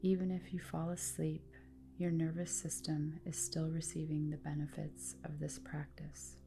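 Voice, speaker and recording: A woman guiding a relaxation meditation in a calm, soothing manner, speaking in deliberate phrases with pauses. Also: low-pitched, vocal fry. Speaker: yoga nidra guide. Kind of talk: guided relaxation meditation, scripted narration.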